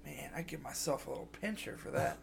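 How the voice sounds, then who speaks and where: Gravelly-voiced man, sick and scratchy. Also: a little high, animated, fast. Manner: rambling, lots of "like" and restarts. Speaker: podcast co-host. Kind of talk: casual chat.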